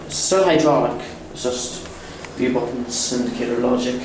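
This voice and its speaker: A man talks casually and off the cuff as he films lifts. His voice sits back from the mic in a very reverberant indoor space, with loud noise around him. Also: mid-range voice, moderate pace. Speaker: lift enthusiast filming a tour. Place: indoors, inside lifts and lobbies. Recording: echoey, distant mic, loud background noise.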